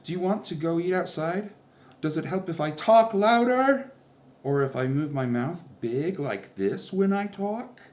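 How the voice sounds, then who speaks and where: A man's voice: on the low side, very animated, a little fast, darkish tone. A man voicing an eager, talkative teenage girl in a storybook reading, firing off bubbly questions. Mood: flustered.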